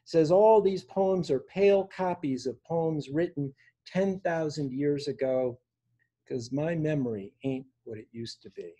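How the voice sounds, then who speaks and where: A man in his sixties reading his own poems for an online open mic, with a measured, deliberate delivery. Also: fairly high pitch, dark tone. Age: 60s. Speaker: poet reading own work. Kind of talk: poetry reading at a virtual open mic, with informal introductions. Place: small room indoors at home.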